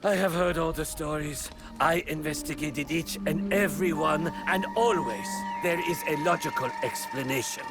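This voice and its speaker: A man's voice: a little high, normal speed, hardly any pauses, over loud music, natural voice. An actor playing a weary, exasperated old man in a video game, with a theatrical, emphatic delivery. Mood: weary, insistent. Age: older. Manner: theatrical, emphatic, swinging between mutters and shouts. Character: exasperated, weary old male investigator of monster rumors.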